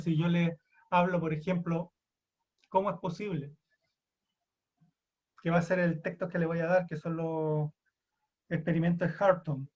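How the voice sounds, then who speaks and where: A man's voice: a little high, dull audio. A young man with a Chilean accent giving an online university lecture, casual and self-deprecating, thinking aloud with restarts. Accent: Chilean Spanish. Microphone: computer mic on a video call.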